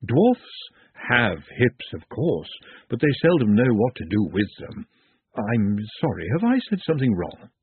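A man's voice: medium pitch, big pitch swings, a little slow, no echo, heavily acted. A man voicing a shrewd, grand female dwarf who runs fashion shows in a storybook, confiding and condescending. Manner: smooth-talking, confiding, condescending. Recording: muffled phone-like narrow band.

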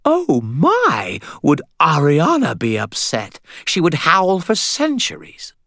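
Brief: gushing high-pitched little vampire girl, read by a man, bloodthirsty, dry close read. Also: wildly swooping pitch, takes it slowly, fairly bright tone, no room sound, full character voice. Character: petite female vampire, giddy and bloodthirsty. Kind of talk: audiobook character voice.

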